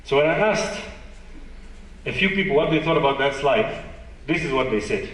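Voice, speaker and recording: A man's voice: a little high, animated, moderate pace, fairly bright tone. Malawian male conference presenter, conversational and self-deprecating, recorded at a distance in a reverberant hall. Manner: conversational, self-deprecating, asks the audience questions. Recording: echoey hall, distant mic.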